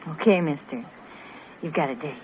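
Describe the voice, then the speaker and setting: An actress playing a sassy young waitress in a 1950s radio crime drama, informal and brisk. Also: female voice, low-pitched, natural voice. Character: pert, brisk young female lunch-counter waitress. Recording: muffled, hissy 1950s radio recording.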